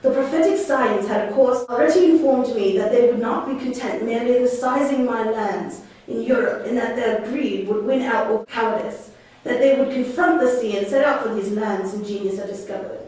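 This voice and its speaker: A woman's voice: on the high side, moderate pace, darkish tone, lightly acted. A woman playing an imperious exiled duke and magician, a father, declaiming theatrically in a stage puppet play. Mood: grave, bitter. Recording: echoey room, distant microphone.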